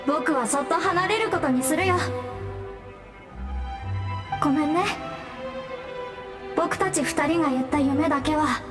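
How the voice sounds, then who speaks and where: A woman voicing a gentle, grateful young male ghost with a sincere delivery in a Japanese anime game. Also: high-pitched, unhurried, pauses often. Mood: gentle farewell. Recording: studio voice, clear background music.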